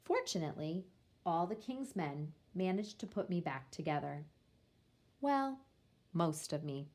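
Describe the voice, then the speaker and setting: A woman reading a children's picture book aloud in the first person, with measured, expressive storytelling. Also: on the low side.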